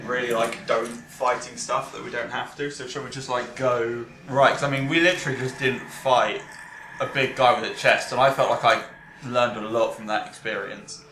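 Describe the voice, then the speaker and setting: Bright-voiced guy, rambling at game table. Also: medium pitch, fairly even intonation, normal speed. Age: 20s. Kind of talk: casual improvised in-character chat. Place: domestic dining room. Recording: roomy home recording, faint noise.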